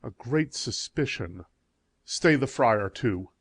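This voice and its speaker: Man playing commanding night watchman, slow deliberate pace, dry recording, grave Shakespearean drama. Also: medium pitch, expressive, husky, no room sound, exaggerated voice. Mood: urgent orders. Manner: deliberate, authoritative delivery. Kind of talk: theatrical Shakespearean audiobook acting.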